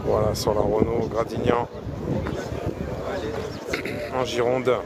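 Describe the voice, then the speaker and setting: A man with a hoarse voice chatting casually to his live viewers while walking round a classic car meet in an outdoor car park. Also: medium pitch, flat delivery, takes it slowly, very noisy. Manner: chatty, addresses viewers and chat directly. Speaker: livestreamer filming a car meet.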